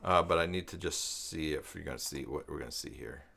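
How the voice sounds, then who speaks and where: A man hosting an informal screen-share tutorial, thinking aloud and reading out documentation in a halting, self-interrupting way. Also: rumbling low, vocal fry, pushed and bright. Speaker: live stream host giving a web tutorial. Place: at a desk by a computer.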